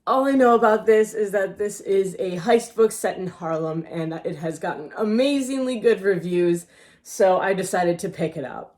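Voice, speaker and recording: A woman's voice: medium pitch, moderate pace, darkish tone. A woman vlogging a casual book haul, chatty and rambling, losing her voice and often unsure of the details.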